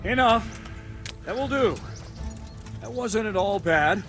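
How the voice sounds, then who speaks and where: A medieval sword instructor from a video game, stern and exacting. His voice sits high for a man and swings widely in pitch. He talks bluntly and commandingly, teaching as he goes, with music behind him. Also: lively intonation, takes it slowly, fairly bright tone, full character voice. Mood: stern, approving. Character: stern, demanding medieval swordmaster drilling a pupil. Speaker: combat trainer.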